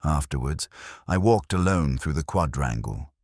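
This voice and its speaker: Very deep-voiced man narrating a brooding detective story. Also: lively intonation, moderate pace, darkish tone, dry recording, clean studio sound. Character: melancholy, world-weary male English detective narrating his case. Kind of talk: literary first-person detective audio drama narration.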